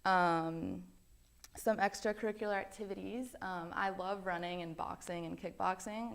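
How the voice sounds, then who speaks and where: A woman's voice: lowish voice, vocal fry. A young woman, a college student, introducing herself casually with lots of ums and likes. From Belgium. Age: young adult. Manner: chatty, many ums and likes.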